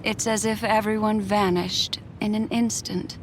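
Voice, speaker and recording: A woman's voice: medium pitch, little pitch movement, unhurried, slight background noise, close to an everyday voice, low-quality recording. A woman voicing an observant, serious young woman in a video game, precise in her delivery. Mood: puzzled. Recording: loud music behind the voice.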